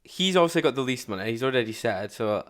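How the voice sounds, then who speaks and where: Man, streamer reacting, blunt slangy chat, smooth clear voice. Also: mid-range voice, expressive, fast, a bit bright. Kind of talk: casual reaction commentary.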